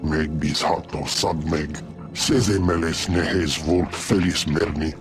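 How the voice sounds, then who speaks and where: A man playing an alien in a video game, speaking an invented tongue in a measured manner. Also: very deep voice, steady tone, over music, exaggerated voice.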